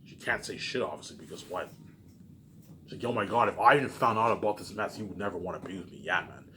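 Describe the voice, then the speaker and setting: A man's voice: low voice, fast talker, sharp tone. A man rambling casually and repetitively to his audience, swearing often, with emphatic bursts that trail off into muttered tags. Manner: frequent swearing. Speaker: vlogger. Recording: clearly noisy background.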